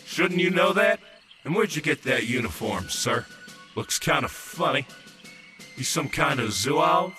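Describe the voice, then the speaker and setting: An actor playing a wary, rustic ghost soldier on sentry duty in an audio drama, talking in a folksy, ungrammatical way. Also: male voice, on the high side, very animated, measured pace, sharp tone, soft background music, heavily acted. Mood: suspicious. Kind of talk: full-cast audio drama acting.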